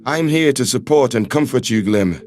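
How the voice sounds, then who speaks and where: A man voicing a calm, earnest human man who speaks in firm, measured, reassuring tones. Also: medium pitch, soft background music, pitched below the performer's own voice, natural voice. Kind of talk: audiobook character voice.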